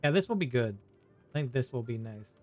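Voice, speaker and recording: A man streaming a game, giving offhand, low-key commentary and muttering through on-screen text as he reads. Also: lively intonation, fairly quick. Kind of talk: casual gameplay commentary. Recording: game music playing behind.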